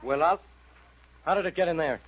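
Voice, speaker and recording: An actor playing an exasperated, blustering police inspector in a vintage radio drama, on a dull old recording. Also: male voice, fairly high pitch, brisk, noisy background, close to an everyday voice. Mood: baffled, blustering. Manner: blustering, insistent. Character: gruff, exasperated police inspector, easily baffled. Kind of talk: 1940s radio detective drama acting.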